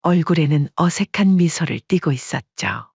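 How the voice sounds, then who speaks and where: A narrator reading a family drama in calm, measured scripted narration. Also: mid-range voice, gravelly, slightly rough, no room sound, lo-fi sound.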